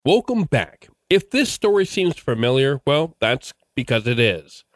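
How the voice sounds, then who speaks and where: A man's voice: medium pitch, sing-song, unhurried, dry recording, clean studio sound. A man hosting a podcast, reading a scripted radio-show style outro. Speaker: podcast host.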